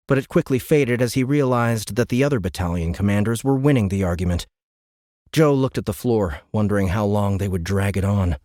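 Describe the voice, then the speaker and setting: A man narrating a science fiction audiobook in a measured, suspenseful storytelling manner, close to a studio microphone. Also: fairly low pitch, darkish tone, no echo.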